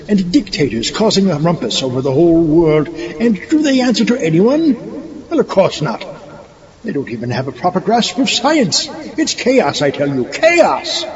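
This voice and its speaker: Campy world-domination mad scientist, pompous and high, wildly animated, boomy hall speech with crowd, muffled. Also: male voice. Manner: pompous, theatrical. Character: gleeful megalomaniac mad scientist villain seeking world domination. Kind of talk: campy audio-drama campaign speech. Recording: muffled, long hall reverb, crowd behind.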